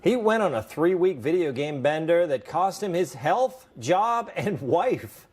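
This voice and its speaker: Male panel host, animated lilting delivery, playful teasing asides. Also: on the high side, soft background music. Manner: jokey, quick teasing asides. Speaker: talk show host. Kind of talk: late-night panel banter.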